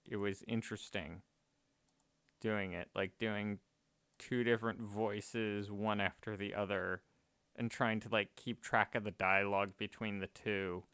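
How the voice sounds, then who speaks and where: A man's voice: on the low side, fairly even intonation, a little slow, fairly bright tone, clear, resonant tone, no echo. A man streaming a game and chatting casually, rambling and correcting himself with small laughs. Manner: rambling, self-correcting, chuckling. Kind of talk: casual chat while gaming.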